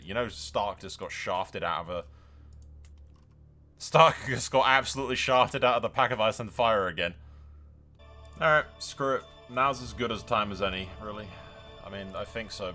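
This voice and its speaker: Male gaming host, lowish bright voice, blokey and foul-mouthed, chatty playthrough with music behind him. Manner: sweary, blokey, addressing viewers as lads. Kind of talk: casual game playthrough commentary.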